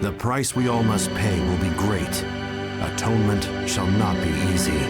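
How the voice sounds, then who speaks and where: An actor playing a solemn, unyielding revolutionary making a weighty, dramatic proclamation in a video game. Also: male voice, on the low side. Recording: loud background music. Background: loud music.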